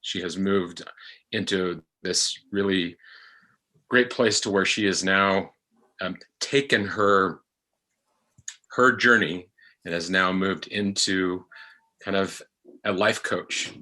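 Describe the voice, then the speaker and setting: Deliberate, halting low-voiced man. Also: sharp tone, low-quality recording. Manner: halting, searching for words, earnest. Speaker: podcast actor, guest. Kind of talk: reflective discussion of a podcast episode.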